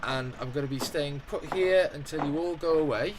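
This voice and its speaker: A man's voice: a little high, moderate pace, lightly acted. A man acting a bewildered, polite, exasperated ordinary Englishman in a radio play. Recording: background noise, music and ambience behind.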